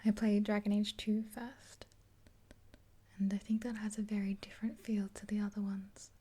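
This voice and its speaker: A woman's voice: mid-range voice, little pitch movement, moderate pace, dark tone. A woman in her twenties whispering soothingly and hesitantly, close to the mic, in an ASMR roleplay as if chatting with a friend. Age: 20s. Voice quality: breathy whisper. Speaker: ASMR video creator playing a makeup artist friend. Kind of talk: whispered ASMR roleplay, one-sided chat with the listener. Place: small indoor room, dark backdrop. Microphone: close to the mic, facing camera.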